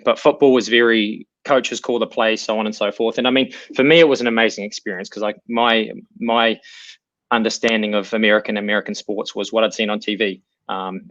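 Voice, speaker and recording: A man from New Zealand with a New Zealand accent, an assistant coach answering interview questions in a relaxed, thoughtful way. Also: fairly low pitch, no echo, highs cut off. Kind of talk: remote interview.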